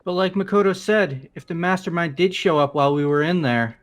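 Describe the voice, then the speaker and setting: A man voicing an excitable, nervous, goofy young man in a video game, full of jittery, dramatic bravado. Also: a little high, brisk, soft background music, close to an everyday voice. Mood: uneasy. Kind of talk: amateur voice acting of a video game.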